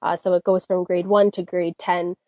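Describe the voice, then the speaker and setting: A young woman from Canada speaks with a mellow, low-set voice, friendly and full of little fillers. The sound is dry and a bit dull, like a muffled studio recording. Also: flat delivery, moderate pace, no echo. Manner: friendly, many fillers and restarts. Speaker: guest singer. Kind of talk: casual interview answers.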